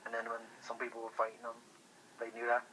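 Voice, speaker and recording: A man's voice: mid-range voice, monotone, fast, pushed and bright. A man chatting casually and informally over the phone, thinking aloud as a guest. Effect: telephone call. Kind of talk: casual chat. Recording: faintly noisy phone line.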